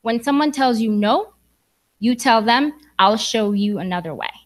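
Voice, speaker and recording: A woman's voice: on the high side, lively intonation, normal speed. A young woman from Sudan giving an earnest, encouraging speech to graduates in a church hall. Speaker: graduation speaker.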